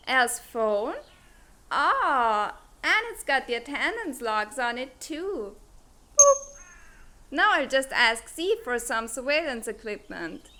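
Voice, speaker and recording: A woman's voice: sits high, bright, forceful tone, exaggerated voice. A woman playing a brash, scheming teenage schoolgirl in a comic fan dub, cocky and playfully threatening.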